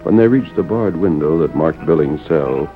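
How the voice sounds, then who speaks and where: A man's voice: a bass voice, lively intonation, moderate pace, soft background music. A man narrating an old-time radio western in a dramatic, scripted style. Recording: muffled old radio broadcast.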